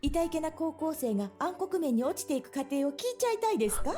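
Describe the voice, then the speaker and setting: A high-voiced, coy young woman of a visual novel, with swooping, theatrical intonation. She is teasing but has a tender side, and game music plays clearly behind her. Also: animated, very fast. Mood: playful teasing. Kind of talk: Japanese visual novel voice acting. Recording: game audio under loud music. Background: video game music.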